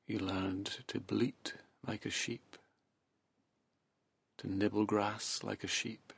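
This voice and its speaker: A man guiding a hypnosis session, speaking softly and slowly in a half-whispered, soothing delivery. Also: fairly low pitch, little pitch movement, gravelly, husky, no echo. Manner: soft, slow, half-whispered. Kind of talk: guided hypnosis narration.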